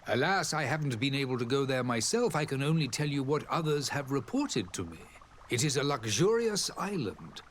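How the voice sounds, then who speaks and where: An actor playing a dignified, courteous diplomat in a role-playing game, speaking formally and eloquently. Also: male voice, mid-range voice, sing-song, moderate pace, slight background noise, lightly acted. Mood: marvelling. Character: dignified male diplomat of a foreign alliance, proud and courteous.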